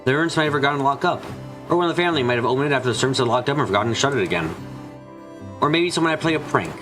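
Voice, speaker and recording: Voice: medium pitch, animated, racing, natural voice. An amateur actor voicing a musing, theatrical young witch in a visual novel fan dub, with music behind. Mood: musing, explanatory.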